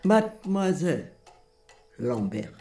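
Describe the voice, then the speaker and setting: An actress playing a weary, lonely older woman, terse and halting, in a German radio crime drama. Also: deep voice, sing-song, over music, exaggerated voice. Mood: guarded.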